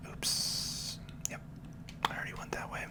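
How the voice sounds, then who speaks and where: A person giving gentle, unhurried ASMR game commentary in a breathy whisper, explaining as they play. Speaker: gaming video host.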